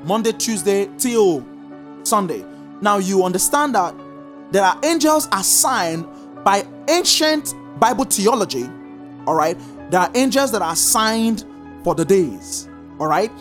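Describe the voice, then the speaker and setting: A preacher teaching a congregation, stressing points in slow drawn-out repeated commands. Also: mid-range voice, soft background music. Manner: lively sing-song emphasis, slow drawn-out repeated commands. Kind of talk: sermon teaching.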